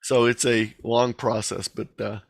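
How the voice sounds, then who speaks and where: A man's voice: mid-range voice, flat delivery, a little slow, gravelly, no echo. Man presenting informally, dry close sound. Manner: conversational, explanatory. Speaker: guest presenter. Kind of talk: informal presentation and question-and-answer. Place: online video meeting.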